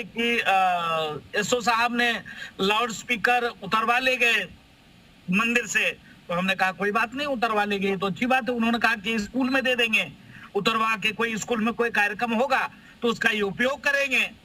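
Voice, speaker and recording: Male religious leader as TV guest, very high, sharp forceful voice, emphatic, repeats himself. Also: moderate pace, poor audio. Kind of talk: anecdotal argument in a TV debate.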